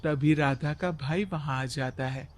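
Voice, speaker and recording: Male narrator for a moral story: high voice, slow scripted delivery.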